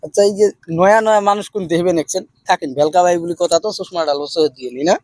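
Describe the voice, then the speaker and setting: Fast, high-pitched man chatting. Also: a little mellow, no room sound, studio quality. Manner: repeats answers back, advising. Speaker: video creator interviewing newcomers. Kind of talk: casual chatty vlog interview.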